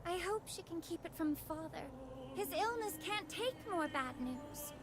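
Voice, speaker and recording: Very high-voiced proud young princess. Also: female voice, expressive, measured pace. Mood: resolute. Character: proud, flustered young princess with a secret soft side. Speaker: voice actor in a fantasy game. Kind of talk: dramatic game voice acting. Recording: game music playing behind.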